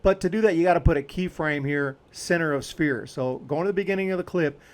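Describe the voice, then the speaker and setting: Casual man with a slightly high voice. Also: fast. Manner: informal, explains as he clicks along. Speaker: video editing tutorial host. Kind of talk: casual step-by-step screen tutorial.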